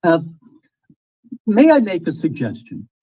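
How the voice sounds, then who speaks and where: A pompous, wordy mayor from an old-time radio comedy, played by a man. He speaks slowly in a husky voice and stammers when flustered. The recording sounds dull and muffled. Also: sits high, huge pitch swings, full character voice. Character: pompous, easily flustered male small-town mayor.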